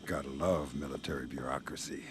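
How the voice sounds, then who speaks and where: Deep-voiced sardonic covert agent. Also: male voice, a little fast, noisy background. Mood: dry, wry. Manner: dry, deadpan wisecracking. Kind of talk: video game voice acting.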